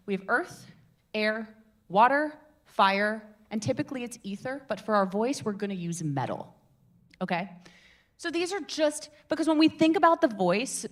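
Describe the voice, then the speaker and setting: A young woman teaches a relaxed public speaking class to a live group. She is chatty and animated, often restarting her sentences and tossing questions to the room. Her voice sits at an everyday middle pitch. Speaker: public speaking workshop leader. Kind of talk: informal workshop teaching.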